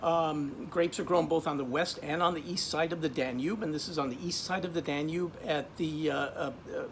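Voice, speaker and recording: A middle-aged man from Austria, casually presenting a wine in a relaxed, chatty way, full of ums and restarts. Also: fairly high pitch, moderate pace, slight background noise. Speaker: wine shop buyer. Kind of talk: casual wine tasting video.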